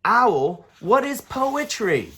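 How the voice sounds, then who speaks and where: A woman playing a high-pitched, curious little boy. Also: sing-song, drawn-out words, fairly bright tone, breathy edge, exaggerated voice. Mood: curious, polite. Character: curious, polite little boy who loves nature.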